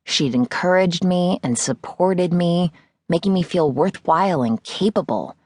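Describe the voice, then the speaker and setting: A woman with a low-pitched voice narrates a novel in the first person. It is a scripted audiobook read. The recording is close and dry, with no room sound. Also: no echo. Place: dry studio.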